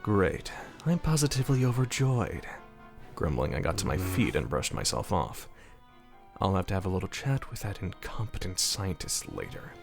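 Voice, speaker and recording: A man plays an irritable, world-weary mayor narrating his own story in an audio drama. His voice is very low with a creaky, gravelly edge, grumbling in deadpan sarcasm. Soft music sits behind him. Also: normal speed, exaggerated voice. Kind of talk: first-person scripted narration with dialogue, audio drama.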